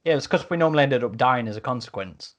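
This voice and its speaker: A man's voice: medium pitch, expressive, fast, a bit bright. A man chatting casually as one of a podcast panel.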